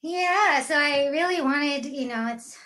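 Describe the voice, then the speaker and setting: A woman's voice: high voice, measured pace, pushed and bright. A woman from the Philippines, a singer talking casually and in a rambling way between songs at a virtual concert. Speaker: singer, performer.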